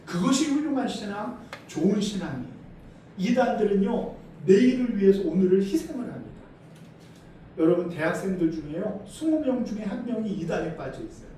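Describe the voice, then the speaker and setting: High-voiced Korean pastor in a booming hall. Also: male voice, expressive, fairly quick. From South Korea. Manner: rhetorical questions to the congregation, swings between loud emphatic and low quiet. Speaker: senior pastor. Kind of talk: sermon. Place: church sanctuary. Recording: echoey hall from far off, faint noise.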